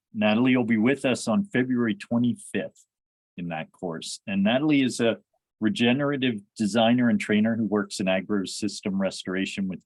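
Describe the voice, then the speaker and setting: Halting host with a low, dry voice. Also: male voice, dry recording. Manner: halting, restarts phrases often. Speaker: podcast host. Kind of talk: conversational interview.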